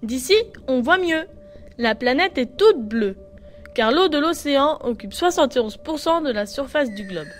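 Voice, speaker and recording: A woman's voice: sits high, lively intonation, normal speed, soft background music. A woman reading scripted educational narration for children, explaining things and addressing the viewers directly.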